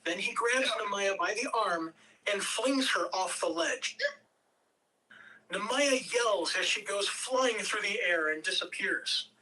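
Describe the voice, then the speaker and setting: A woman reading stage directions as narrator at a table read, measured, with occasional stumbles over words. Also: medium pitch, lively intonation, bright, forceful tone, far from the mic, a little hiss or hum, rough recording.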